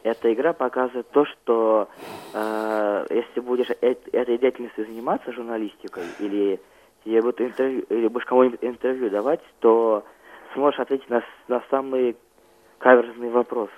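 A teenage male student being interviewed as a radio guest, recounting his experiences haltingly and searching for words. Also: mid-range voice, fairly even intonation, highs cut off.

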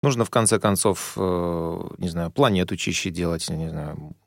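A man's voice: deep voice, moderate pace, gravelly, no room sound, clean studio sound. A man as a guest on a radio interview, thinking aloud and searching for words. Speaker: guest musician. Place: radio studio.

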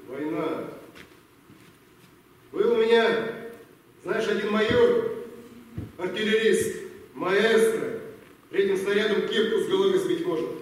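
A man acting as a firm, weary wartime colonel who briefs in deliberate, commanding short phrases in a radio play. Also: sits high. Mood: weary, grave. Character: wartime army colonel, a firm, weary, persuasive superior briefing his officer. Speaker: amateur stage actor. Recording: echoey hall, far from mic.

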